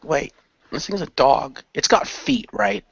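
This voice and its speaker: A man's voice: a little high, big pitch swings, a little slow, vocal fry, a bit bright, slightly rough, no room sound. Man improvising adventure story, dry sound. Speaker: player in an improvised radio play. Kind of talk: improvised storytelling, acting out his character. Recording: dull lo-fi voice recording.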